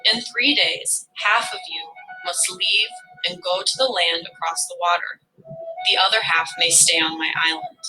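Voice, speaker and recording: A woman voicing a loving but easily exasperated earth goddess in a legend, declaiming slowly and grandly. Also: fairly low pitch, steady tone, natural voice, rough recording. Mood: commanding. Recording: music behind the voice.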